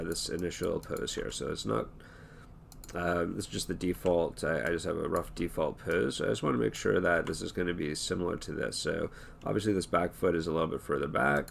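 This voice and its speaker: A hoarse man talking through an animation tutorial in a relaxed, thinking-aloud way, with many fillers and restarts. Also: deep voice, slight background noise. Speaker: animation instructor. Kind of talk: screen-recorded tutorial talk.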